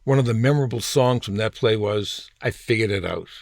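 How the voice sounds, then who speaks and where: Older guy reading his funny essay, dry close sound, wry and deliberate, leans on key words. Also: lowish voice, gravelly, no echo. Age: 60s or older. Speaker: storyteller reading own essay. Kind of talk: humorous personal essay read aloud.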